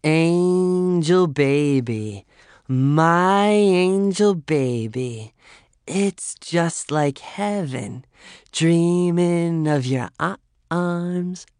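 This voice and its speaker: A man voicing a tipsy, flippant teenage girl in an audiobook, chirpy and drawn-out. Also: fairly high pitch, darkish tone, clear, resonant tone, no echo, clean studio sound, pitched above the performer's own voice. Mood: tipsy singing.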